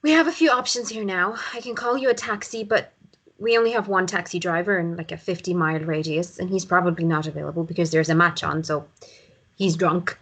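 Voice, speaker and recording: A woman's voice: on the low side, steady tone, fairly quick, fairly bright tone, slightly rough. A woman playing a harried, irritable but reluctantly hospitable countryside mother in a drama table read. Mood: reluctant, annoyed.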